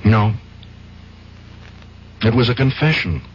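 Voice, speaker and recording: An actor playing an earnest, troubled lawyer in a 1970s radio drama, halting and intense. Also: male voice, medium pitch, animated. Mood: troubled, insistent. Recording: muffled, faintly noisy 1970s radio broadcast.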